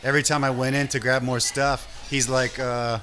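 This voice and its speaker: An eager male host keeps the talk easy and friendly, cheering his guest on and playing out little scenes. His voice sits at an ordinary middle pitch, and the clear murmur of people behind him runs through the recording. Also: level tone, a crowd in the background. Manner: enthusiastic, fills in with quick reactions and acted quotes. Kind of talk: casual interview chat.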